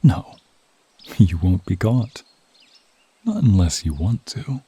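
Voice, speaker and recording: A man delivers slow, dramatic ASMR storytelling in a low, very dark and mellow voice. His hushed narration often sinks into a whisper. Noise and ambience sit faintly but clearly behind him. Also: sing-song, dry recording. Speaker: narrator of an audio roleplay.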